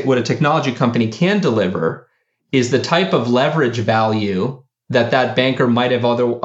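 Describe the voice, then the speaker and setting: A man, a company founder, answering interview questions in a halting way with many fillers and restarts. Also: medium pitch, roomy sound, highs cut off. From United States.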